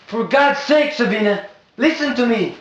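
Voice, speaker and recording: A man's voice: medium pitch, expressive, a little slow, close to an everyday voice. An actor playing a man devoted to his lover, with an earnest, imploring delivery. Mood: pleading. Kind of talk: melodramatic stage acting. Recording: dull, noisy, echoey recording.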